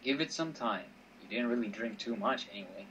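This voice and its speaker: A man's voice: medium pitch, expressive, fairly quick, fairly bright tone, lightly acted. A man voicing a nonchalant, teasing male drinking companion in an amateur visual novel reading, with casual, goading replies. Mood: reassuring. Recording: dull, slightly noisy home recording.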